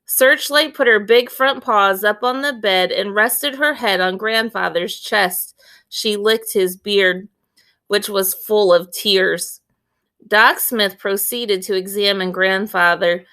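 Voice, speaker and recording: Bright, unhurried woman reading a storybook. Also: mid-range voice. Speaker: read-aloud storyteller. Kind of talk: expressive children's book narration.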